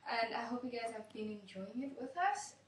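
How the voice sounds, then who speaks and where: A woman's voice: fairly high pitch, animated, moderate pace, reverberant, far from the mic, a little hiss or hum. A young woman hosting a radio interview, chatty and giggly, with many fillers. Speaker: radio host.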